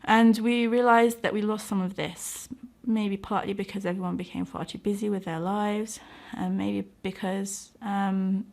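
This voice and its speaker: British woman, thoughtful, with a creaky voice. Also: mid-range voice, steady tone, a little slow, hardly any pauses, a little mellow. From United Kingdom. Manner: earnest, hesitant, thoughtful. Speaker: interviewee, doctor and community organiser. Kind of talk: reflective interview talk.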